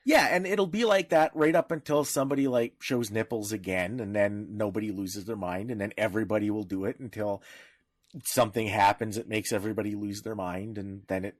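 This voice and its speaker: A man's voice: fairly low pitch, a little fast, dry recording, studio quality. A man co-hosting a podcast in casual discussion, talking in a blunt, irreverent way. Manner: blunt, irreverent, freely profane. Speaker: podcast co-host.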